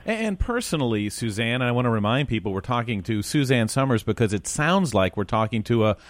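A man hosting a talk show, asking halting questions of a guest and then reading presenter links. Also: mid-range voice, sing-song, slight background noise. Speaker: radio show host. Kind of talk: interviewing and presenting.

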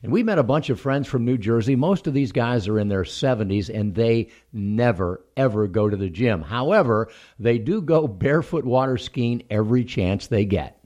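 Male co-host, mid-pitched voice. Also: lively intonation, normal speed, a little mellow. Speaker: show co-host. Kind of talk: scripted conversational introduction.

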